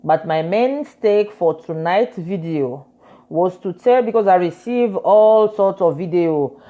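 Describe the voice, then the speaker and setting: A woman's voice: lowish voice, takes it slowly, narrow, tinny audio. A woman lawyer giving earnest, insistent commentary, switching between French and English and repeating her key points. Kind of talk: casual commentary.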